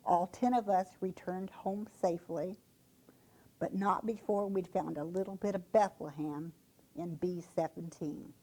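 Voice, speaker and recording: A woman reading a reflective first-person story aloud in a solemn, measured manner. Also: fairly low pitch, steady tone, darkish tone, low-quality recording. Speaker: reader at a reader's theater.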